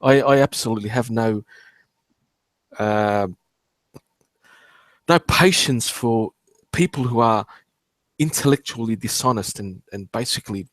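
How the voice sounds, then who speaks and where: A man's voice: mid-range voice, a little slow, pauses often, no echo. Blunt stammering Australian man in his forties. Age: 40s. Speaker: host. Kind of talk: casual chat.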